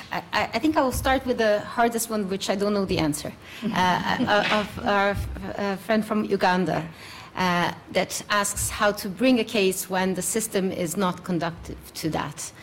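A woman's voice: medium pitch, measured pace, a little hiss or hum. A woman panelist answering audience questions, hesitant, with many fillers.

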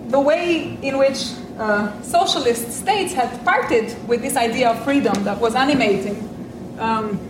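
A woman's voice: fairly high pitch, far from the mic, noisy background. Reflective woman lecturing in an echoey room. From Albania. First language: French. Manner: measured and reflective, with light self-deprecating humor. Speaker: invited speaker. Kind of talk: academic lecture. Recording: echoey room with faint ambience.

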